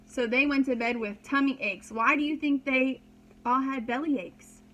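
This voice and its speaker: A woman's voice: sits high, moderate pace, a bit bright, slight background noise, low-quality recording. A woman reading a children's story aloud as a preschool teacher, dramatically and expressively, with simple explanations for young listeners.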